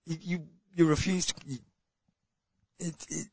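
Blunt, sarcastic Australian man, husky voice. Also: a little high, fairly even intonation, a little slow, no echo, lo-fi sound. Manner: blunt, sarcastic, stumbling restarts. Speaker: podcast co-host. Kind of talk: casual chat.

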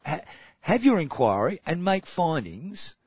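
A man's voice: fairly high pitch, sing-song, unhurried, breathy edge, dry recording, dull audio. An Australian man co-hosting a casual podcast chat, blunt and sarcastic, often stumbling and restarting. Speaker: podcast co-host.

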